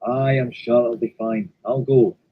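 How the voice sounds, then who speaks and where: A man's voice: mid-range voice, steady tone, a little slow, husky, phone-quality sound, voice pushed down. A man voicing an easygoing, obliging diesel engine in a story, with a hearty colloquial manner. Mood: willing, easygoing.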